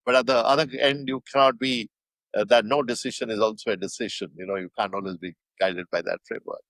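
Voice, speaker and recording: An older man with an Indian English accent, giving measured, thoughtful interview answers with frequent fillers. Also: fairly low pitch, steady tone, fairly bright tone, no echo, rough recording. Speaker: guest.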